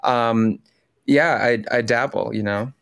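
Smooth-voiced man, a chatty guest. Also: mid-range voice, slow, a bit bright, no room sound, clean studio sound. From Philippines. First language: Tagalog. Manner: friendly, chatty, with frequent restarts. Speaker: podcast guest, Broadway performer. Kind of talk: casual interview.

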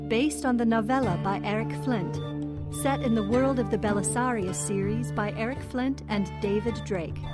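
A woman's voice: on the high side, moderate pace. A woman announcer reading a scripted introduction to an audio drama. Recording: loud music under announcement.

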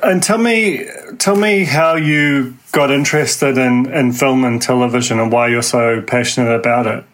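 A man with a New Zealand accent hosting an interview podcast, talking in halting bursts with many restarts. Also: mid-range voice, fairly bright tone. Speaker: podcast host.

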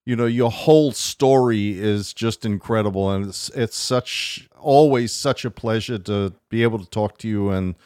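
A man co-hosting a podcast, welcoming a guest in a slow, earnest way with halting restarts. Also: on the low side, a little mellow, clear, resonant tone, dry recording, clean studio sound. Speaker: podcast co-host. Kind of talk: casual podcast conversation.

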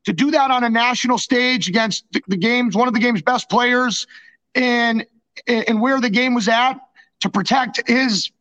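A man co-hosting a casual hockey podcast, agreeable and halting, repeating words as he goes, on slightly lo-fi audio. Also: very high-pitched, pushed and bright. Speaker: sports podcast co-host.

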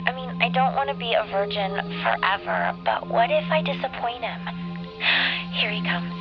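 An actress playing a naive, scared high school girl in a trance, speaking haltingly and confidingly in an audio drama. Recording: dull lo-fi tape playback, music behind.

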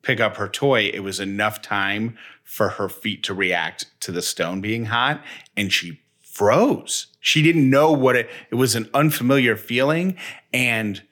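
A man's voice: lowish voice, unhurried, a bit bright. An American man in his thirties chatting casually as a co-host, emphatic, often repeating and restarting phrases. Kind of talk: casual personal chat.

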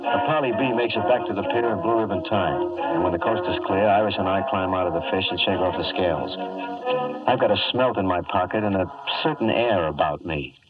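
An American man acting a wisecracking, streetwise adventurer who narrates his escapade in slangy deadpan in a 1950s radio drama. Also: low-pitched, fairly quick, far from the mic, loud music behind the voice, lightly acted. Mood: wry narration. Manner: wry, slangy, hard-boiled deadpan. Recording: muffled vintage 1950s radio recording.

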